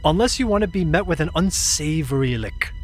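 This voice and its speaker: A woman's voice: sits low, expressive, brisk, faint music behind. A woman playing an easygoing young guide to folklore spirits, casual and wry, in an audio drama. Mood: confident, urgent. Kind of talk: audio drama character acting.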